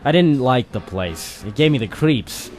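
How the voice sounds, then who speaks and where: A man's voice: medium pitch, animated, a little slow, noisy background, lightly acted. A man playing a hardboiled private eye, narrating dramatically and wryly in the style of an old-time noir radio drama. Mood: brooding. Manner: wry, dramatic first-person storytelling. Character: hardboiled male private eye narrating his own case.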